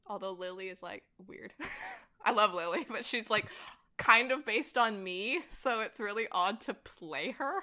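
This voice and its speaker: A woman's voice: mid-range voice, measured pace, sharp tone. A woman chatting casually and enthusiastically as a guest on a podcast. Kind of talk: casual chat.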